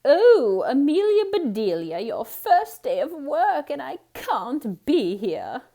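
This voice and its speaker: A woman voicing a fussy, apologetic lady of the house in a storybook, with emphatic, drawn-out words. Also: sits high, very animated, warm tone, pitched above the performer's own voice, exaggerated voice. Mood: flustered, instructing.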